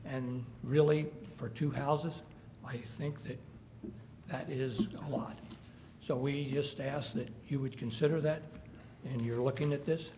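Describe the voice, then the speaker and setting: A man speaks up at a public zoning hearing with a gravelly, low-lit voice. He talks earnestly and haltingly, pausing to find his words. A faint background noise sits under the recording. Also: warm tone. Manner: earnest, halting, with pauses and fillers. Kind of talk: public comment at a zoning meeting.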